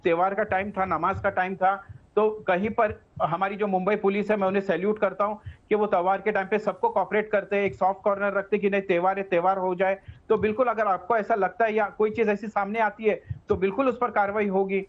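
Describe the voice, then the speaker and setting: A dull, lo-fi remote feed carries a high-pitched man. He is a political spokesman in a live TV debate, defensive and repetitive as he explains his position. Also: level tone, fast talker. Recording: dull, band-limited remote audio feed.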